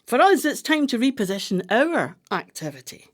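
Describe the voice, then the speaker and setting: A woman acting in a radio play as a brisk, practical wife with a composed, wry manner. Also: fairly high pitch, very animated. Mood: firm, soothing. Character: brisk, practical wife, former tennis champion turned coach.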